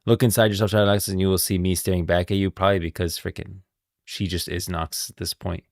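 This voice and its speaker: A man talks as a game lore streamer. His voice is deep and recorded close and dry, and he sounds casual and insistent, reasoning things out aloud. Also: level tone, fast, vocal fry, dry recording. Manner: informal, thinking aloud, insistent. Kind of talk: casual livestream commentary.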